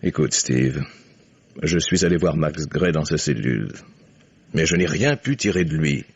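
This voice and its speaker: A very low, rough-edged voice belongs to an older man, a skeptical lawyer father. He speaks in a measured, sardonic way typical of old radio drama. The sound is close, dry and muffled. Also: lively intonation, no echo, heavily acted. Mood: grave, resigned. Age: middle-aged or older. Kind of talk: 1950s French radio drama acting. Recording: dull, dry vintage radio recording.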